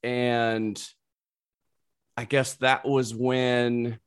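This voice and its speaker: A man's voice: mid-range voice, level tone, extremely slow, clean tone, no room sound, clean studio sound. A man hosting a podcast in a wry, self-deprecating, casual way. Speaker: podcast host. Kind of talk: casual chat.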